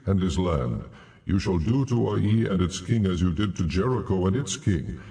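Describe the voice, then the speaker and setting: God in an audio bible drama, very low voice, stern n solemn, bit distant over music. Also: male voice, mellow. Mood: commanding, assuring. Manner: solemn, authoritative, deliberate. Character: God, stern commanding deity rebuking his people. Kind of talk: dramatized scripture audio acting.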